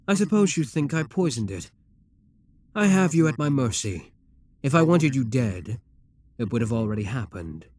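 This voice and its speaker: A man voicing a possessive, scheming demon king who talks to the listener in a calm, coaxing, self-assured way. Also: mid-range voice. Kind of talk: audio roleplay addressed to the listener.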